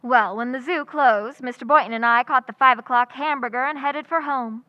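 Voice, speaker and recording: A woman's voice: sits high, moderate pace, lo-fi sound, close to an everyday voice. A woman playing a wry, romantically hopeful schoolteacher in an old-time radio comedy, with an American accent. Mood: wry, coaxing. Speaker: actor in community playhouse radio recreation.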